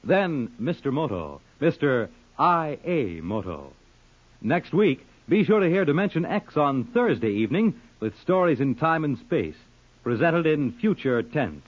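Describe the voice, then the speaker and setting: A man's voice: a little high, very animated, unhurried, husky. A man announcing on 1950s network radio, reading scripted promos in a formal, dramatic manner. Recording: dull old-time radio recording.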